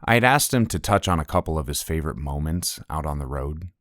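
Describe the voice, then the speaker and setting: A man plays a jaded podcast host narrating a fictional report. His voice is very deep and recorded dry and close, with reflective, scripted delivery. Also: lively intonation, fast, a bit bright, no echo. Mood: composed.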